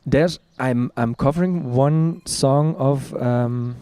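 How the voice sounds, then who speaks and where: Guy chatting on his vlog, warm mellow voice, lots of ums and laughs. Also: medium pitch, takes it slowly, smooth, no echo. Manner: hesitant, chatty, laughs often. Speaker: vlog host, singer-songwriter. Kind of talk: casual conversation.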